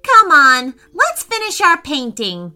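An earnest and excitable storybook boy acted by a woman, urgent in manner. The voice sits high and bright, with lively jumps in pitch. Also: unhurried, soft background music, exaggerated voice. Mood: cheerful. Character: earnest, excitable young boy adventurer Declan. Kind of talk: children's storybook character voice.

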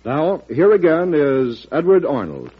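A man's voice: a little high, sing-song, a little slow. A man with an American accent announcing a radio promo in a dramatic vintage scripted style. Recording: dull vintage radio recording.

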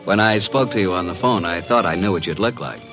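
An actor playing a smooth, wisecracking private investigator in an old radio drama, with a breezy, understated manner. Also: male voice, low-pitched, fast talker, over music, close to an everyday voice. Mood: cool, casual.

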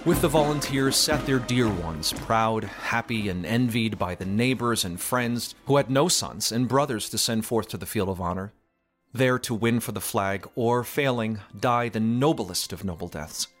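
A man's voice: fairly low pitch, moderate pace. A man reading a literary story as narrator, in a dramatic audiobook style. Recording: music behind the voice. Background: background music.

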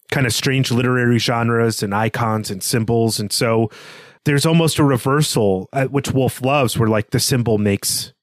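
A man's voice: medium pitch, no room sound, clean studio sound. A man co-hosting a podcast, explaining literature in an earnest, bookish and analytical way. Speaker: podcast co-host. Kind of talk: literary discussion, analytical commentary.

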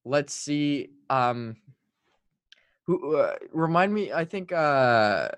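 A man's voice: on the high side, animated, extremely slow, clear, resonant tone, no echo. A French-speaking man co-hosting a casual sports podcast, talking in an emphatic, hyperbolic way. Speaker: hockey podcast co-host.

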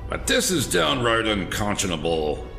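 An actor playing a stern veteran police commissioner with a firm, formal manner. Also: male voice, fairly low pitch, huge pitch swings, normal speed, creaky, pushed and bright, faint music behind, full character voice.

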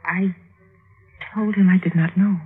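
A woman's voice: on the low side, fairly even intonation, a little slow, lightly acted. Actress as a pleading young woman, tinny radio. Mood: anxious. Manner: impassioned, theatrical delivery. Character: pleading, defiant young sister and wife of warlords. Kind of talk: 1950s radio drama acting. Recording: tinny, noisy old radio recording.

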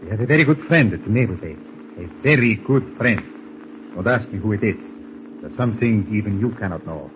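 An actor playing a domineering, villainous German spy doctor with a precise, condescending manner, in 1940s radio style. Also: male voice, lowish voice, animated, brisk, close to an everyday voice. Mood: urgent, secretive. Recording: muffled noisy vintage radio recording.

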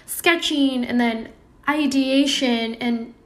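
A woman's voice: high voice, fairly even intonation, slow. A woman from Indonesia speaking as a podcast guest, explaining things conversationally and often adding "you know". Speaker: podcast guest, product designer. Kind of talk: casual interview chat.

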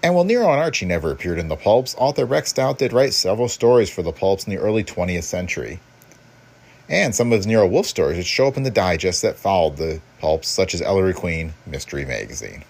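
A man's voice: sits low, slightly rough. A man hosting a podcast, reading a scripted introduction. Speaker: podcast host.

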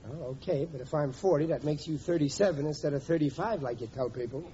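An actor playing a grumbling, stingy working-class husband of about forty, with blustery slangy talk, in old-time radio comedy. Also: male voice, fairly high pitch, fast talker, warm tone, natural voice. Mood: defensive grumbling. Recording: dull, noisy vintage radio recording.

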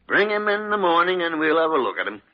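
An actor playing a gruff older senior doctor in an old radio drama, muttering and exclaiming in a husky voice. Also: male voice, fairly high pitch, very animated, quick pace. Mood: grumbling, obliging. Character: crusty older senior hospital doctor, gruff but obliging. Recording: dull vintage radio recording.

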